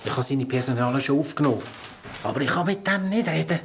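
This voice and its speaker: A man's voice: medium pitch, animated, fast talker, a little hiss or hum, natural voice. An actor playing a flustered, evasive Swiss German policeman in a radio play, hesitant and placating. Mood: exasperated. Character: flustered, evasive policeman handing off a tiresome caller.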